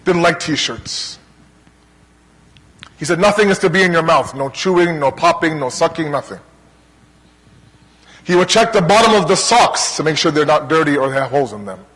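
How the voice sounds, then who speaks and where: A man from Toronto with a Canadian accent preaching to a congregation in an earnest, rhetorical manner, pausing between deliberate parallel phrases. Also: on the high side, animated, a bit bright. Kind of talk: religious lecture to a congregation. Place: large hall, speaking to a gathering. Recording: long hall reverb.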